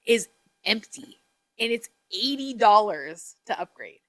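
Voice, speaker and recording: A woman chatting casually with a friend, telling stories in a giggly, animated way. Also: medium pitch, unhurried. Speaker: podcast host.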